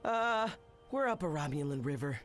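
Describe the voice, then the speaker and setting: A man's voice: fairly high pitch, big pitch swings, moderate pace. A man voicing a wisecracking young male space captain in a video game, breezy and joking. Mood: cocky. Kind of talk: video game cutscene acting. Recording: game cutscene with loud music behind.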